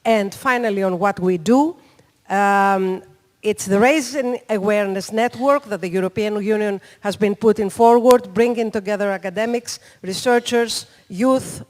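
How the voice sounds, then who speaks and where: A panelist gives formal policy remarks, halting often on fillers between phrases. The voice sits at a middle pitch, and a long hall reverb trails each sentence. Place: large conference hall.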